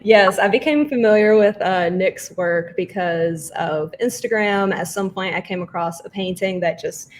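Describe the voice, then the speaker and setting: A woman's voice: medium pitch, moderate pace, few pauses, a little hiss or hum. A woman hosting an online artists' panel, introducing guests in a conversational way over a video call.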